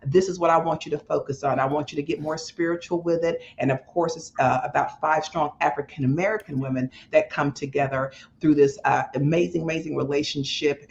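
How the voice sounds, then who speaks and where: A woman's voice: low-pitched, fairly even intonation, a little fast, few pauses, a little mellow, dull audio, slight background noise. A woman playwright speaking unscripted as an interview guest, effusive and devout in the way she talks.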